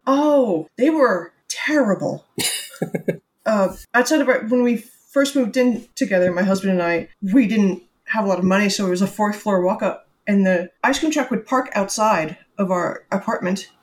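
A middle-aged woman from the New York area co-hosts a comedy book podcast. She talks in casual, sarcastic asides and drifts easily into long anecdotes, at a middling pitch. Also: expressive, normal speed. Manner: sarcastic, joking, storytelling. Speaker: podcast co-host.

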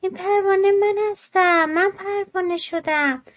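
A woman voicing a small, cheerful caterpillar in a children's storybook, talking to itself in an excited, lifted voice. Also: high-pitched, highs cut off, voice pushed up. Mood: delighted.

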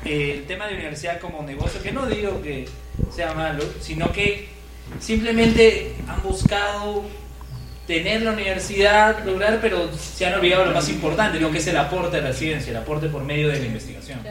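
Young man, Peruvian accent, animated slangy storyteller, high voice, roomy echo. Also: normal speed, background noise. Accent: Peruvian Spanish. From Peru. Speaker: podcast co-host. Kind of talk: casual chat.

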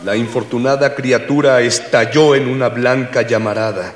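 A man's voice: mid-range voice, steady tone, normal speed, lightly acted. Man as echoey formal radio drama narrator. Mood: narrating. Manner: formal, literary. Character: male storyteller recounting an adventure in ornate language. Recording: echoey, reverberant, crowd noise behind.

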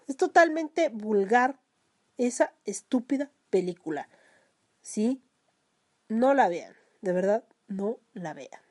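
A young Mexican woman with a high, bright voice rants on her solo podcast. She swears freely, talks casually and crudely, and her throat sounds sore from talking so much. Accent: Mexican Spanish. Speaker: podcast host.

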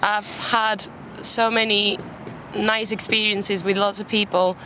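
Young woman from Spain, British accent, relaxed guest chat, outdoor park recording with traffic noise. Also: medium pitch, measured pace. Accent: British English. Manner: chatty, laughs easily, self-deprecating. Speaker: interview guest. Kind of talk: casual chat.

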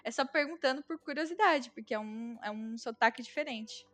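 Chatty Brazilian woman, fairly high voice. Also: brisk, fairly bright tone. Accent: Brazilian Portuguese. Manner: chatty, explaining with many fillers. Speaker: guest, Brazilian living in Germany. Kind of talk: casual conversation.

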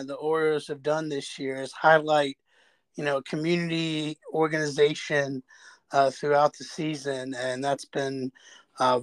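A man's voice: medium pitch, dry recording. A man conducting a casual interview, agreeable, with loosely phrased questions and comments.

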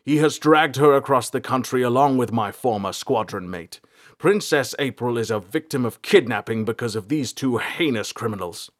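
A man's voice: medium pitch, lively intonation, normal speed, close to an everyday voice. A man voicing an overbearing, menacing king and father, with an imperious, accusatory delivery in a fantasy audiobook. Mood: furious. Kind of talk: dramatic fantasy audiobook character.

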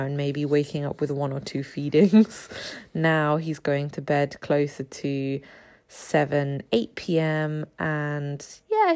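A woman in her thirties hosting a casual solo podcast, a little raspy from just waking up, chatty with frequent ums and small laughs. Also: sits low, a little mellow. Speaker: podcast host.

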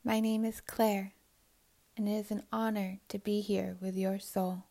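A woman narrates a guided meditation at a slow, unhurried pace. Her voice is relaxed and mellow, at a medium pitch. Phrases come with long pauses between them and trail off softly and breathily. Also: creaky.

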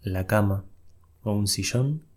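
Slow, breathy man guiding meditation. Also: on the low side. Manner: soft, unhurried instructions with long pauses. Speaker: meditation guide. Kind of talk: guided meditation.

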